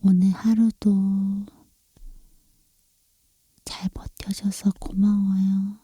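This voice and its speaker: A tender girlfriend, played by a woman, whispers right up close in a very dry, intimate sound. Her voice is soft, airy and deeply mellow, with unhurried, affectionate cooing meant to lull a lover to sleep in an ASMR roleplay. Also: completely flat delivery, husky, no echo, exaggerated voice. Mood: comforting. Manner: slow, gentle, affectionate cooing. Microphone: close-up microphone, dry.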